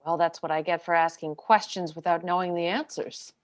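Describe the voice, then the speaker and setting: A woman's voice: on the low side, steady tone, fairly quick, close to an everyday voice. A woman playing a dogged military defense lawyer in a dramatic script reading, questioning a witness in a pointed, insistent way. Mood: defiant.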